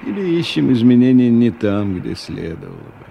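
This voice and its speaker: An actor playing a weary, disillusioned middle-aged man thinking aloud in a radio drama, with halting, ruminative delivery. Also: mid-range voice, very animated, creaky, dark tone. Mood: wry, musing. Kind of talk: radio drama interior monologue. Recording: 1990 radio recording with background noise.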